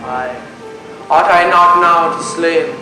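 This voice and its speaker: A man's voice: fairly high pitch, slow pace. A young man playing a brooding, passionate prince in a school stage Shakespeare play, with theatrical declamation. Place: indoor stage in a hall. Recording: very echoey hall, loud music behind.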